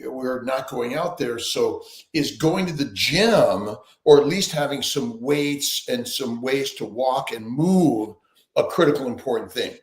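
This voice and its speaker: A man's voice: medium pitch, lively intonation, a little slow. Rambling man chatting in an echoey room. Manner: rambling, restarts, upbeat. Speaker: host. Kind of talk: casual live-stream chat.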